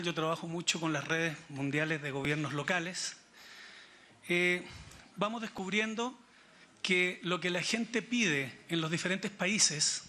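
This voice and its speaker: A man with a Chilean accent making reflective remarks from the audience at a conference, deliberate and pausing mid-sentence. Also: high-pitched, pushed and bright. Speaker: audience member speaking at a political conference.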